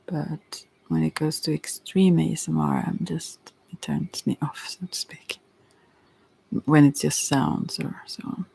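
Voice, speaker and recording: Woman, soft and whispery, marked vocal fry, relaxed, rambling, hesitant, ASMR tarot reader. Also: sits low, poor audio. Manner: relaxed, meandering, hesitant with trailing asides.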